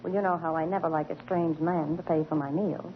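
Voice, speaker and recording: A woman's voice: deep voice, steady tone, fairly quick, slight background noise. A woman acting in a 1940s radio comedy, brassy and tough-talking as a guest star. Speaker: guest star singer Miss Neeson. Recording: muffled old radio broadcast with band.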